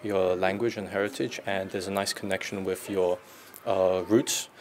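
A man's voice: low-pitched, level tone, moderate pace, noisy background. A young man from Australia, a student answering interview questions thoughtfully, often starting with um. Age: young adult. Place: classroom indoors. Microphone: small handheld mic near chest.

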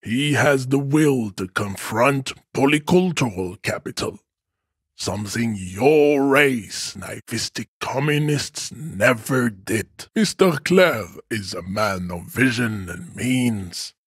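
A man's voice: mid-range voice, lively intonation, slow, a bit bright, breathy edge, no room sound, studio quality, heavily acted. An actor playing a pompous, stoic strongman in a video game, declaiming haughty, grandiose speeches. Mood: haughty, declamatory. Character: pompous, stoic, hulking male race-theorist strongman. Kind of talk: video game character acting.

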